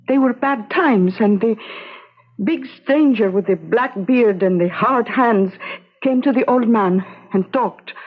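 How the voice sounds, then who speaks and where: An actress playing a wronged, grieving young wife in a radio drama, with a Spanish accent and halting, broken English. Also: female voice, fairly high pitch. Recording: dull, lo-fi radio drama recording.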